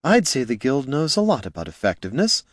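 A man's voice: medium pitch, very animated, quick pace, dry recording, studio quality, pitched above the performer's own voice. A man voicing a proud, combative young woman mage in an audiobook, with a brash, cutting manner.

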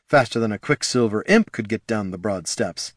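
A man voicing a brisk, matter-of-fact female spellcaster. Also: on the low side, lively intonation, no echo, clean studio sound.

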